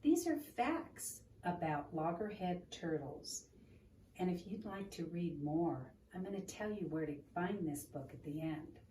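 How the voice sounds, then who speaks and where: A woman reading a children's book aloud in an expressive, deliberate storytelling manner, in a roomy, noisy recording made at a distance from the microphone. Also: lowish voice. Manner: expressive, deliberate, asking the listener questions.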